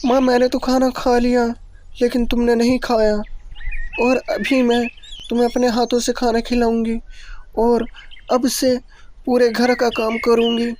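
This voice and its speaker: A woman's voice: a little high, little pitch movement, a little slow, a little mellow, slight background noise, natural voice. A woman playing a remorseful young schoolgirl daughter in a cartoon story, pleading emotionally. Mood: apologetic, pleading.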